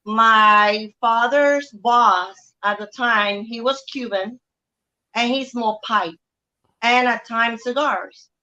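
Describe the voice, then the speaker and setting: A woman's voice: on the high side, takes it slowly, sharp tone. A middle-aged woman with a Puerto Rican accent, speaking casually as an interview guest over a video call. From Puerto Rico. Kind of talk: casual personal recollection. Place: outdoor family courtyard. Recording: dull lo-fi video call audio.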